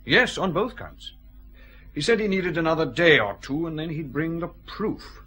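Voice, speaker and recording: An actor playing a cautious, fussy customs official, hesitant and precise, in a radio drama. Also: male voice, on the high side, expressive, normal speed, bright, forceful tone. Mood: hesitant, confiding.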